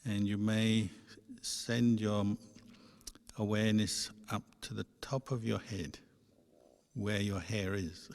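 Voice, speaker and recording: A man guides a meditation as a monk would, in a low, very even voice. He speaks slowly and gently, leaving pauses between short phrases. The sound carries a long hall reverb. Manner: measured, gentle instructing with pauses.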